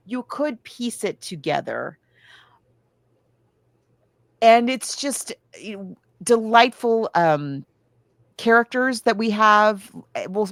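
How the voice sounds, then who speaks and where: A woman's voice: mid-range voice, a bit bright, dry recording, studio quality. A woman hosting a podcast, casually discussing books and films in a chatty, enthusiastic way with emphatic turns of phrase. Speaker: podcast host. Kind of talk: casual book and film discussion.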